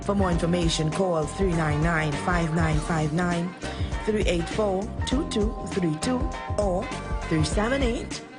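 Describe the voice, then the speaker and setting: A woman's voice: on the low side, a little slow, over music. A woman reading a scripted radio advertisement in a polished, upbeat sales voice. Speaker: ad announcer.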